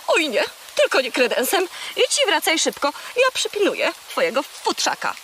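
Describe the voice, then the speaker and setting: A woman's voice: high-pitched, huge pitch swings, normal speed, a bit bright, slight background noise, exaggerated voice. A woman voicing a boastful, chatty, hungry female seagull in a children's audio play, haughty and dramatic. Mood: cheeky.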